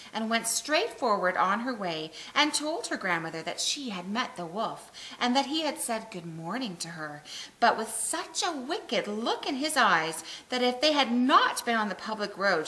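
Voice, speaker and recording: A woman reading a storybook tale aloud in an animated way. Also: mid-range voice, moderate pace, rough recording.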